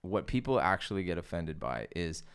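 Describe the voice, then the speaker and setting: A man's voice: sits low, sing-song, moderate pace, clean tone. A man from San Diego, a comedian guest, telling stories in a rambling, casual podcast chat. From San Diego, California. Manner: storytelling, rambling with fillers.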